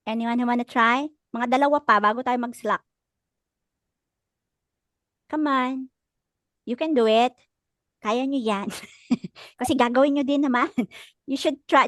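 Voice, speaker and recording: A woman's voice: a little high, normal speed, long pauses, no echo. Filipino woman coaching an online class. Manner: encouraging, mixes English and Tagalog. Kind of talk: casual lecture coaching students.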